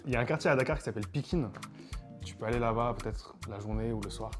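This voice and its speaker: French guy explaining stuff fast, relaxed, music in the back. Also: medium pitch. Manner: relaxed, explanatory, says "tu vois" often. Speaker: host, Wolof teacher. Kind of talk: casual chat.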